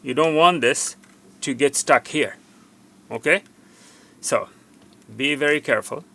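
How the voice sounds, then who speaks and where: A man's voice: a little high, unhurried, sharp tone. A man giving an unscripted hands-on tutorial as a repair technician, instructive and cautioning, often repeating himself for emphasis.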